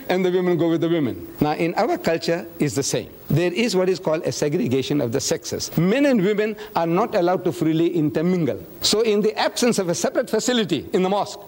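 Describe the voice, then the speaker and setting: A man's voice: sits high, darkish tone. An older man, telling chatty anecdotes to a group and acting out both sides of the dialogue. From South Africa.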